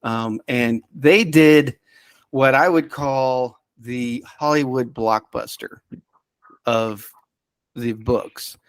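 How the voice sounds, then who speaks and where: A man in casual podcast conversation, speaking as the resident expert. He rambles and hesitates, circling back on his own sentences, in a mid-pitched voice recorded close and dry. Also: extremely slow, clear, resonant tone, no room sound. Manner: hesitant, rambling, self-interrupting. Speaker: podcast subject-matter expert. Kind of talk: casual chat.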